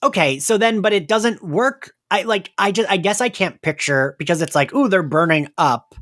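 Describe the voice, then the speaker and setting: Man, podcast host, lively swooping intonation, casual recap, chatty, dry recording. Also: high-pitched, moderate pace, no room sound. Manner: animated, chatty, quotes lines in playful voices. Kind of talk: casual chat recapping a story.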